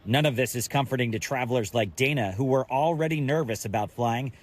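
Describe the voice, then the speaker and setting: A man's voice: medium pitch, brisk, a little hiss or hum. An American man working as a TV news reporter, delivering live transportation reports in broadcast style.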